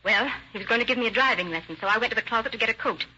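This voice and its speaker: A woman's voice: a little high, very fast, pushed and bright. An actress playing an evasive woman on trial for murder, testifying defensively in an old radio drama. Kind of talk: 1940s radio acting. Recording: muffled, hissy old radio recording.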